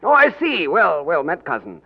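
An actor playing a dashing, courtly gentleman of royal looks in a theatrical 1940s radio drama. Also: a little high, wildly swooping pitch, moderate pace, exaggerated voice. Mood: excitable, hearty. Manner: theatrical, courtly, crisply spoken. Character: dashing gentleman adventurer and his excitable royal double. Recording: tinny, narrow old radio broadcast.

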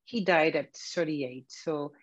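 A woman, giving brief, soft-spoken answers as an interview guest. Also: low voice, slow. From France. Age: 60s. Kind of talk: personal interview. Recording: dull lo-fi audio.

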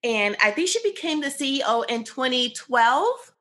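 An eager woman co-host leads the interview and heaps praise on her guest. Her voice sits a little high and sounds bright. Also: very animated, normal speed. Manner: enthusiastic, effusive praise. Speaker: podcast co-host.

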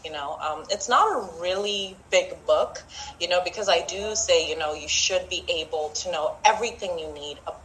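A woman's voice: moderate pace, fairly bright tone, slight background noise, rough recording. A woman from Zimbabwe, an author talking casually as a guest, chatty and laughing often. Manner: chatty, laughs often, acts out quoted friends in a high voice. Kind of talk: casual interview chat.

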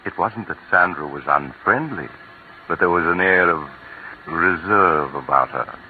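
A man giving measured, grave scripted narration for a vintage radio drama. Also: low-pitched. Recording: tinny, hissy old radio broadcast.

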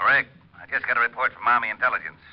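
An actor playing a blunt, matter-of-fact police lieutenant heard over a telephone in a radio drama. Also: male voice, medium pitch, fast. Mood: brisk. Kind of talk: 1950s radio drama acting. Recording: dull old radio, telephone sound.

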